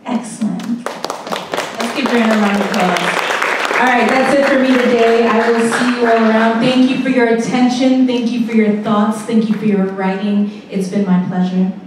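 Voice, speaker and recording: A woman's voice: on the high side, steady tone, moderate pace, over a noisy crowd. A woman leading a poetry workshop who calls on students and encourages them to share. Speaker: workshop leader. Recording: echoey hall, distant mic, some noise.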